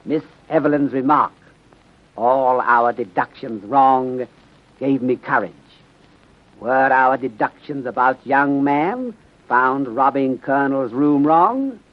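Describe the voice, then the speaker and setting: A muffled 1930s radio recording carries a man playing a wise Chinese detective inspector. He is courteous and formal, with a medium-pitched voice. His deliberate, clipped phrasing drops small words and leans toward proverbs. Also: lightly acted. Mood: grave, resolute. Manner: deliberate clipped syntax dropping articles, formal, aphoristic. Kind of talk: 1930s radio drama acting.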